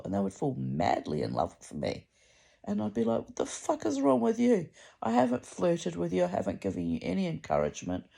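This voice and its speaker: Middle-aged woman, deep voice, heavy vocal fry, dark mellow tone, candid sweary confessional rambling, dramatic delivery. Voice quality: very deep, gravelly voice. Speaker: personal vlogger.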